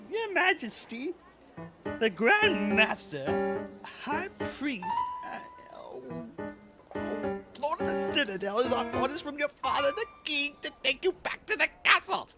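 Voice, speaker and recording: A woman's voice: high voice, big pitch swings, takes it slowly, long pauses, over loud music, heavily acted. A woman acting in an audio drama as a bumbling, apologetic palace guard, mumbling and stammering nervously. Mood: flustered, apologetic. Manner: flustered, desperate mumbling, stammering.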